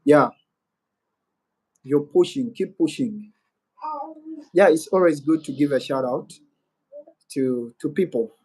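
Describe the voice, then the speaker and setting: A man's voice: fairly high pitch, slow pace, pauses often, darkish tone, slightly rough, low-quality recording. A man with a Ugandan accent hosting a casual live-stream Q&A, rambling and musing aloud as he reads out viewer comments. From Western Uganda.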